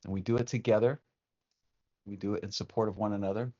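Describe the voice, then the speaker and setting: A man with a fairly low voice guides a meditation in a soothing, unhurried manner. He leaves long pauses between phrases, like a teacher leading breathing practice. The recording is somewhat dull and lo-fi. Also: fairly even intonation, mellow. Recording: dull, muffled lo-fi sound.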